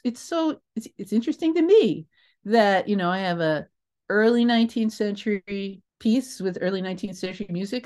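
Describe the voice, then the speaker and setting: A woman's voice: medium pitch, a little mellow. A woman speaking as an interview guest in a casual, rambling and enthusiastic way about creative work.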